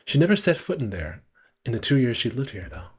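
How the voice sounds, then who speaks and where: A man's voice: mid-range voice, sing-song, brisk, husky. A man casually streaming a visual novel, reading the narration aloud and correcting himself with muttered asides.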